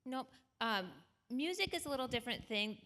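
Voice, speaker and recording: A woman with a bright, crisp voice answers parenting questions on a panel in a church. She speaks in a conversational way and keeps checking in with her listeners, tossing in a quick "right?". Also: sits high, lively intonation. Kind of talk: advising at a panel discussion. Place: church auditorium.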